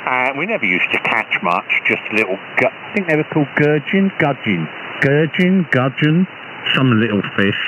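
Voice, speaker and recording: A British man, an amateur radio operator, chatting casually over a shortwave radio link in a halting, hesitant way with repeated false starts. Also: mid-range voice, very animated. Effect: radio transmission. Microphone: radio transceiver, received over shortwave. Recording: muffled, noisy shortwave ham radio signal.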